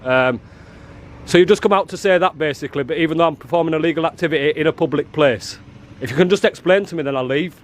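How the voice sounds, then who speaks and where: A loud, confrontational man with a fairly high voice argues with people he films outside a prison. He talks in a rough, challenging way, and the sound comes from a handheld camera mic outdoors. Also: a little hiss or hum. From England. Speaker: auditor filming outside a prison. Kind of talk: confrontational street filming. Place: outdoors by a prison car park.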